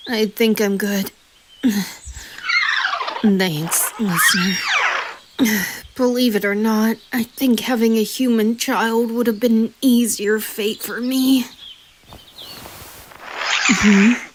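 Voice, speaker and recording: A woman's voice: fairly high pitch, slow, long pauses, heavy background noise. A woman playing a playful, easily flustered young male bard and doting single dad in a fan audio roleplay, speaking expressively with exasperated affection. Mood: teasing, affectionate. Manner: expressive, exasperated yet affectionate, sighs and chuckles.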